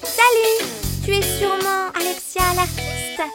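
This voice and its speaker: A woman's voice: extremely high pitch, fairly even intonation, measured pace. A woman voicing an eager, bubbly young female puppy in a children's cartoon. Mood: encouraging, friendly. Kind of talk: French-dubbed children's cartoon acting. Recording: loud music behind. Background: loud children's cartoon music.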